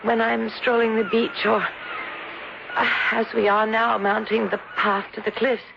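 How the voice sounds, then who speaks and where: A woman's voice: on the high side, level tone, a little slow, gravelly. A woman playing a frail, melancholy, genteel young English lady in a radio drama, delicate and polite in her speech. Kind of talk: old-time radio drama acting. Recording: noisy dull old radio broadcast.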